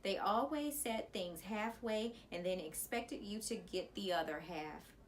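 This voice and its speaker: A woman's voice: mid-range voice, a little slow. Woman reading a story, noticeable background noise. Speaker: elementary school teacher reading aloud. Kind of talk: expressive children's audiobook storytelling.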